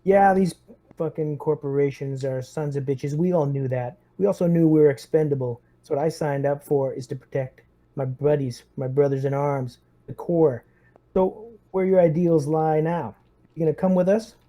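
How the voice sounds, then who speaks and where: A man's voice: on the high side, normal speed, warm tone, natural voice. A man voicing a blunt, foul-mouthed Marine lieutenant rallying his squad in a tabletop roleplaying game. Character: gruff, rousing Marine lieutenant, loyal to his brothers in arms.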